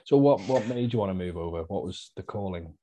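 A man hosting a podcast, chatty and relaxed, with lots of fillers and easy laughs. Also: low-pitched, a little fast. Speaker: podcast host. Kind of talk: casual interview chat.

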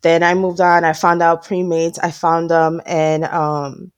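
A woman's voice: fairly low pitch, little pitch movement, a little slow, clean tone, no room sound. A woman who works as a lash artist and trainer, chatting as a podcast guest, acting out bits of quoted dialogue and laughing often. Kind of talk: casual interview chat. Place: based in Tampa.

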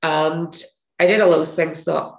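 Gravelly, hoarse woman telling her story. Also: deep voice, fairly even intonation, measured pace. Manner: rambling, self-correcting storyteller. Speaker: podcast guest, author. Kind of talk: casual interview storytelling. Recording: echoey room.

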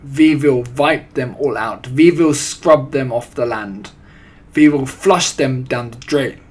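A tyrannical grand witch, acted out by a man for a storybook, husky and loud. She rants imperiously in broken English, thundering out orders with deliberate menace. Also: mid-range voice. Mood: disgusted, screaming. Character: tyrannical, ranting female head witch with foreign grammar. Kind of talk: audiobook character voice.

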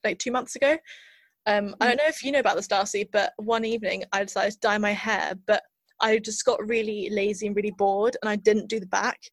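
A woman in her twenties co-hosting a podcast, telling stories in a soft-spoken, earnest way. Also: medium pitch, steady tone, a little fast, dry recording. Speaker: podcast co-host. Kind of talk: casual chat.

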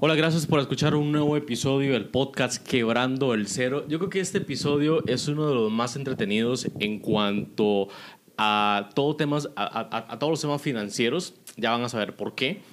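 A man's voice: medium pitch, slight background noise. A man hosting a casual interview podcast from a guest's office, often repeating words and restarting as he talks. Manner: halting, repeats words while reading sponsor spots. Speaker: podcast host.

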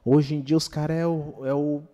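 A Brazilian man talks in a slangy, opinionated podcast style, often checking in with rhetorical questions. His voice is a little high and softly mellow, with long reverb around it. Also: little pitch movement, moderate pace, dull audio. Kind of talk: casual, opinionated podcast conversation. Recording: reverberant hall-like room.

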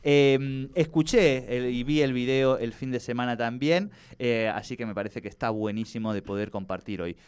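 A man's voice: mid-range voice, normal speed, hardly any pauses, a little mellow, clean tone. A man hosting a radio talk show in a chatty, rambling way, restarting words and laughing often. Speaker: radio host. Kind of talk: casual radio chat.

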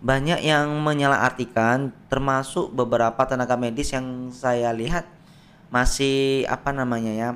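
A man, a doctor, explaining medical tests on a podcast in a patient, plain way with everyday analogies, his voice slightly hoarse. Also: medium pitch, fairly even intonation, normal speed, fairly bright tone. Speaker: doctor guest. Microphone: podcast microphone.

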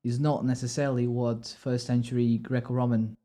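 Man with a mellow voice. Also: mid-range voice, little pitch movement, a little fast. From Poland. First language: Polish. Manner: thoughtful, explaining step by step with asides. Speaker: podcast co-host explaining theology. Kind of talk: casual explanatory chat.

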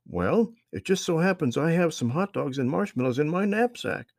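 A cheerful, helpful young boy with a high, eager voice, as a man reading a storybook puts him on. The tone stays gravelly and husky underneath, in a dry, close sound. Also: expressive, moderate pace, darkish tone, no echo. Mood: reassuring. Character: young boy Marvin, friendly, cheerful helper.

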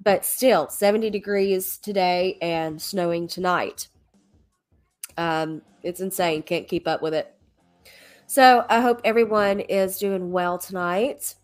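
A woman's voice: fairly low pitch, slow, faint music behind. Congested woman, nasal from a cold. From Alabama. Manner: chatty, with frequent ums and restarts. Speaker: ministry leader hosting a livestream. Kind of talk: casual livestream preaching.

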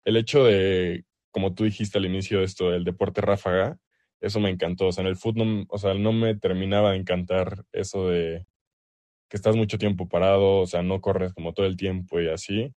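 Deep-voiced young man, close dry sound. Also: level tone, fairly quick, a little mellow, dry recording. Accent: Mexican. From El Salvador. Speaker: basketball player being interviewed. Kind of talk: casual interview answers.

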